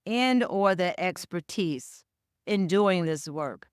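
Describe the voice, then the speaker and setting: A middle-aged woman with a Southern accent speaks in a smooth, clear voice, measured and emphatic as she answers an interviewer. The sound is dry and close, with no room around it. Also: on the low side, lively intonation, dry recording. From Chicago with Southern family roots. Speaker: guest, DEI director.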